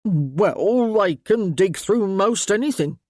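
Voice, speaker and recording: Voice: medium pitch, lively intonation, slow pace, a little mellow, no room sound, studio quality, voice pushed up. A man voicing a belligerent, coarse working-class rat-catcher in a storybook reading. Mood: gruff, belligerent.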